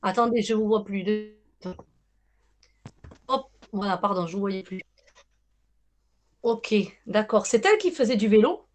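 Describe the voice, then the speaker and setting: A woman's voice: mid-range voice, animated, a little slow, long pauses. A woman giving a live medium reading to callers over a video call, hesitant and reassuring, often repeating herself.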